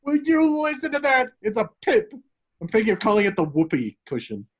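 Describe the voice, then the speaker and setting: A man's voice: high voice, wildly swooping pitch, a little fast, exaggerated voice. A man playing a silly, jovial old prankster with a gleeful, jokey manner in an amateur script reading. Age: older. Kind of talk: amateur table read of a film script.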